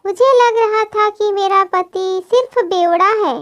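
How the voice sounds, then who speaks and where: A female kite bird from a cartoon story talks in a very high, girlish voice. She is a long-suffering wife, aggrieved and scolding. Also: unhurried, fairly bright tone, muffled recording. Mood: indignant. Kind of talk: Hindi cartoon story dialogue.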